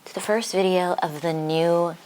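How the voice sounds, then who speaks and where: A woman's voice: fairly low pitch, steady tone, moderate pace, darkish tone, a little hiss or hum, low-quality recording. A young woman chatting informally and giggly in a casual vlog, saying "like" often, her voice slightly congested from getting over the flu. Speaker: book vlogger.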